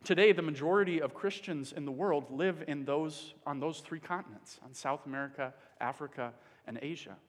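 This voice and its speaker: Earnest male preacher, from the Dominican Republic, a bit high-pitched, echoing hall. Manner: earnest, pauses with fillers. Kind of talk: sermon. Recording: long hall reverb.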